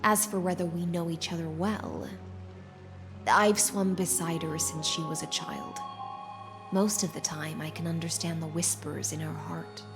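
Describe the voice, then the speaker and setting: Serene wistful fantasy lady for a game, lowish voice, poised n lyrical, music behind. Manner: poised, lyrical, formal, unhurried. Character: serene, gracious, wistful woman of a fantasy realm. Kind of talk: video game voice acting.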